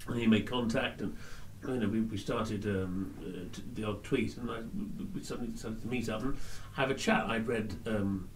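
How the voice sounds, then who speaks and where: An actor being interviewed who talks in an animated, chatty way with frequent restarts. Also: male voice, lowish voice, normal speed, far from the mic, a little hiss or hum, poor audio. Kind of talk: casual interview talk.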